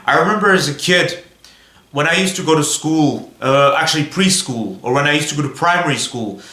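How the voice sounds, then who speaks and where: A young man from Belgium gives a casual vlog monologue about cars. He talks in a chatty, rambling way and keeps calling out to his viewers. His voice is bright and a little high, and it rings in a roomy space. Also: a little fast. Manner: chatty and rambling, often says "guys". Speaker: car vlogger.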